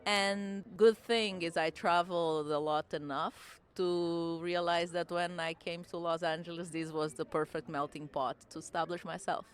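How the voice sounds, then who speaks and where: Low-voiced woman, laughing often. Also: steady tone, normal speed, hardly any pauses. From born in Romania, raised in Angola. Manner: reflective, laughs easily, nonnative phrasing. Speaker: interviewee at a festival. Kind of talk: conversational interview answers.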